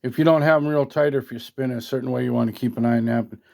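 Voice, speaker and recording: A man's voice: mid-range voice, steady tone, rapid-fire, creaky, a little mellow. A man giving a casual hands-on product demo in a folksy, colloquial, mildly salty way, recorded on a handheld phone at a workbench. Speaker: product reviewer, radio hobbyist. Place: at a workbench indoors.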